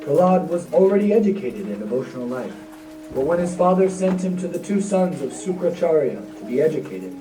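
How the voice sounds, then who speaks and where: A man's voice: on the high side, sing-song, a little fast. A man narrating a devotional puppet show from a script, as a storyteller. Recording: roomy, distant mic, music behind.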